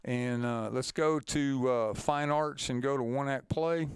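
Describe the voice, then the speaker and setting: A man presents an informal instructional webinar. His voice is very smooth and clean at a medium pitch, recorded dry with no room sound. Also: steady tone, measured pace, no echo.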